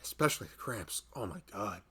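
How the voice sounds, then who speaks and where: A man's voice: fairly low pitch, expressive, a little fast, bright, forceful tone, husky, heavily acted. A man playing a loving husband who rambles tenderly and a little anxiously in an intimate, conversational ASMR roleplay. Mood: tender, sympathetic. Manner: rambling, hesitant, conversational, frequent "you know". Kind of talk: intimate ASMR boyfriend roleplay, improvised-sounding chat.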